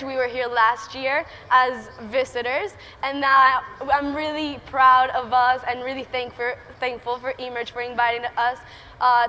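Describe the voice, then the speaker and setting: High bright Venezuelan-accented teen woman. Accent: Venezuelan Spanish. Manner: nervous, giggly, earnest. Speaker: student entrepreneur speaker. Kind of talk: prepared conference talk. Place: large conference hall stage. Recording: reverberant hall with faint ambience.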